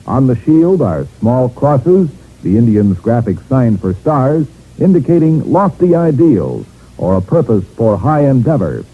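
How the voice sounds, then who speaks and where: A man's voice: mid-range voice, very animated, unhurried, slightly rough. A man reading a formal scripted radio announcement. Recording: muffled phone-like vintage radio recording.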